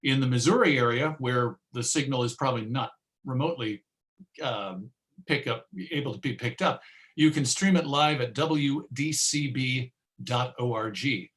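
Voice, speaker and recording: A man with a medium-pitched voice gives an informal presentation as a radio show host. He is chatty and self-deprecating, often halting and restarting as he talks to an online audience. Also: a bit bright. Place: remote video presentation.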